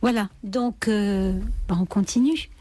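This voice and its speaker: A woman's voice: medium pitch, a little slow, mellow. A woman chatting casually as a radio host. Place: radio studio. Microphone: studio microphone.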